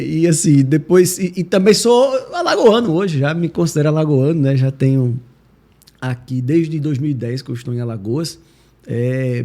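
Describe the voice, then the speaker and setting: A man with a Northeastern Brazilian accent from Recife, answering interview questions in a reflective, halting, conversational way. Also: mid-range voice, warm tone. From Recife, Pernambuco, Brazil. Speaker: podcast guest, judge and law professor.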